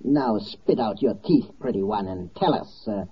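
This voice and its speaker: An actor playing a cruel, mocking Nazi interrogator, menacing and taunting, in 1940s radio drama style. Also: male voice, lowish voice, expressive, measured pace. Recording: noisy, dull 1940s radio record.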